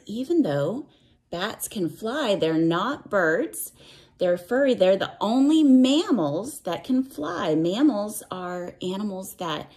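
A woman reading a picture book aloud to young children, with sing-song verse and simple explanations and questions. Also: medium pitch. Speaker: read-aloud storyteller for children.

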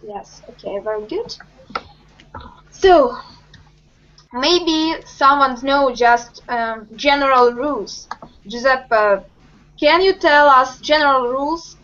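A young woman teaching an online English lesson, asking questions slowly and patiently over a webcam video call. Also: high voice, animated, fairly bright tone. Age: young adult. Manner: slow, patient, encouraging questions. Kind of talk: online group language lesson. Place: home room with bookshelves. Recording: muffled video call audio.

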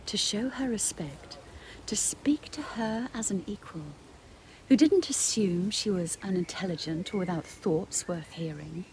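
A woman in her fifties giving an earnest, measured spoken reflection as a bishop. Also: medium pitch, a little mellow. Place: garden, out of doors. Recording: outdoor ambient noise, birdsong.

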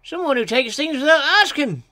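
Man doing a high, knowing little engine. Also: expressive, moderate pace, pushed and bright, no echo, studio quality, exaggerated voice. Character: confident, knowing little male engine, explains things eagerly. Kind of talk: children's storybook character voice.

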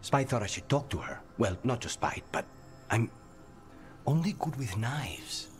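A man's voice: medium pitch, sing-song, faint music behind. A male actor playing an awkward, earnest assassin in a video game, speaking hesitantly. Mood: shy, tender. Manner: halting, hesitant.

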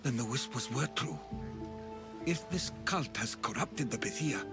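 An actor playing a thoughtful, skeptical middle-aged Greek scholar, measured and earnest, in a video game cutscene. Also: male voice, medium pitch, expressive, over music. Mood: grave, alarmed.

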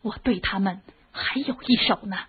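An actress playing a bold, scheming palace consort in a radio drama, scornful, goading and impatient. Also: female voice, mid-range voice, moderate pace, slightly rough. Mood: scheming, urgent. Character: bold, scheming palace consort plotting revolt against the king. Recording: muffled, tinny phone-like radio drama recording.

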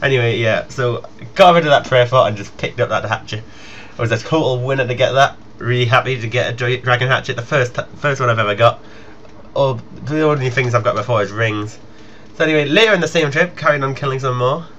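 A man's voice: medium pitch, brisk, a bit bright, lo-fi sound. Chatty young British man, noisy mic. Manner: informal, chatty. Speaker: gaming video creator. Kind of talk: casual gameplay commentary.

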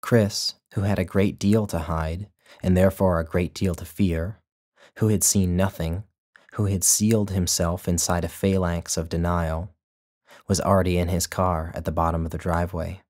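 A man, the author, narrating his own literary short story in a measured, even way. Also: deep voice.

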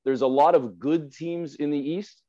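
A man's voice: on the high side, fairly even intonation, normal speed, dark tone. A man in his forties giving opinionated sports talk as a podcast guest, emphatic and fond of repeating phrases for effect. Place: indoor room at home, video call. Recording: slightly dull video-call audio.

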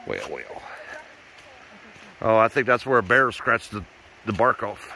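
A man's voice: fairly low pitch, very animated, measured pace, bright, forceful tone, slight background noise, poor audio. A middle-aged man filming a hike on a forest trail, joking and cheering on his family as he talks to the camera. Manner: joking, encouraging, talks to the camera while hiking. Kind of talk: casual vlog chat.

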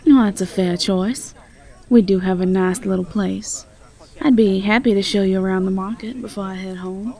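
A woman acts out a young Southern belle who runs a farm in an audio roleplay. Her voice is relaxed and mellow with some fry in it. She talks in a folksy, sweet and quick-witted way. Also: mid-range voice, natural voice. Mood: friendly. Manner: warm, folksy, teasing.

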